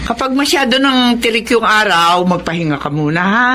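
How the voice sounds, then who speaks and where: A woman's voice: mid-range voice, normal speed, noisy background, natural voice. An actress as a caring, hardworking Filipino mother. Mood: brisk, caring. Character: caring, hardworking mother who peddles fish. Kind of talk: Tagalog radio drama acting.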